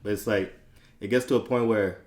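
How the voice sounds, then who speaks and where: A man's voice: lowish voice, fairly even intonation, brisk, darkish tone. A man hosting a casual podcast interview, asking questions loosely and repeating words as he starts. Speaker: podcast host.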